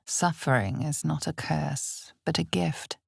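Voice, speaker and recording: A woman giving dramatic scripted fantasy game narration in a measured, ominous storytelling manner. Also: low voice, creaky, darkish tone, husky, no echo, clean studio sound.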